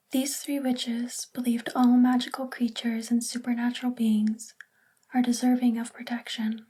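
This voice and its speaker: A woman playing a sweet, bookish witch librarian in a soft spoken ASMR roleplay, gentle and soothing in a breathy, whispery voice. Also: on the high side, poor audio. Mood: gentle, hushed.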